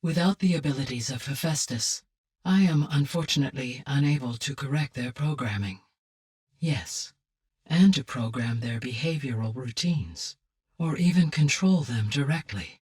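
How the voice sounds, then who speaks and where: A woman voicing a calm, caring, highly intelligent female artificial intelligence in a video game, with formal, precise, measured phrasing and a low, husky voice. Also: recorded from a distance, exaggerated voice. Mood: composed, measured. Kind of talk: measured, scripted game dialogue.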